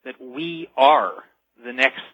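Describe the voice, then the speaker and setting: A man explaining things as a radio interview guest over the telephone, earnest and deliberate, stressing key words. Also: mid-range voice, fairly bright tone, no echo. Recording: dull, band-limited telephone line.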